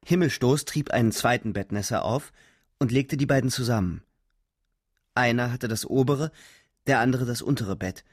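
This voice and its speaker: An actor playing a bitter, sardonic young soldier who recalls the war in scripted radio drama narration, telling it vividly. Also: male voice, medium pitch, moderate pace, natural voice. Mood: bitter recollection. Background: music.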